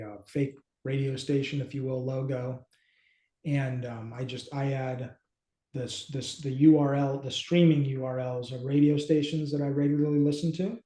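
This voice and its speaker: Mellow man in an echoey room. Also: medium pitch, little pitch movement, normal speed. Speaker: co-host, app company team member. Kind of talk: casual, informal demonstration chat. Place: small home office indoors. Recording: echoey room on a video call.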